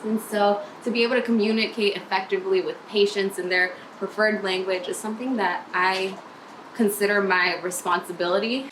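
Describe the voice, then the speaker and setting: A woman's voice: medium pitch, fairly even intonation, brisk, background noise. A young woman from Maryland, an emergency nurse, talking to the camera in a casual, upbeat and chatty way. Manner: upbeat, chatty, laughs often. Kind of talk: casual video application to camera. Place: indoors, plain room with panelled wall. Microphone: camera at arm's length in front of her.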